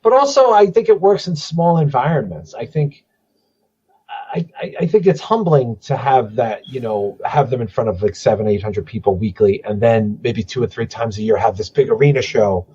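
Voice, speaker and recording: A husky, hoarse man in his forties talks loosely on a podcast, his voice wearing thin from a coming cold. He curses freely, stacks up restarts and rambles on. The sound is dull and lo-fi. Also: medium pitch, lively intonation, normal speed, mellow. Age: 40s. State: losing his voice, starting a cold. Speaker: podcast guest. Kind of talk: casual chat.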